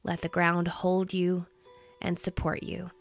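A woman in her thirties leading a guided meditation in a soothing, gentle, unhurried manner. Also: lowish voice, monotone, vocal fry, faint music behind. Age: 30s.

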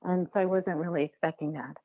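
A woman speaks over a narrow, phone-like line in a lowish voice with a gravelly fry. She talks casually as an interviewed filmmaker, circling back and rewording as she thinks out loud. The sound is close and dry. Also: fairly even intonation, quick pace, dry recording. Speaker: documentary filmmaker being interviewed.

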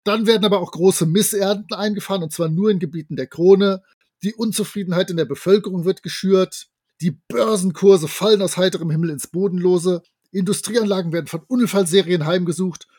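A man's voice: high voice, a little fast, a little mellow, breathy edge. A man co-hosting a podcast, casually retelling and discussing a story with enthusiasm. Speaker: podcast co-host.

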